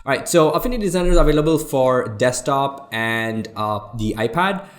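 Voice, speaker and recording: A man's voice: mid-range voice, lively intonation, brisk. Indian-accented man hosting a tutorial. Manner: informal, addresses viewers as guys, explains step by step. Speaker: tutorial host, designer. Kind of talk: casual screen-recorded software tutorial.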